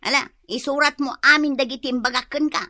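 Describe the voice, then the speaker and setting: An actress playing a nosy, spiteful and bossy sister-in-law in a comedic radio drama, with a pushy, scolding manner. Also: female voice, sits high, fairly even intonation, fast talker, bright, forceful tone, no echo, clean studio sound. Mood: bossy, insistent.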